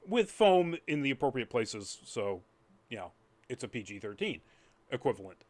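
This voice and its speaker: A man's voice: medium pitch, very animated, normal speed. A man giving a casual, rambling spoken review as a podcast host, trailing off into asides.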